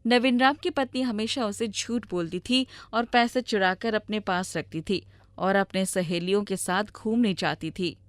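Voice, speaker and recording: A woman's voice: mid-range voice, moderate pace, dry recording. A woman reading scripted storybook narration as the storyteller.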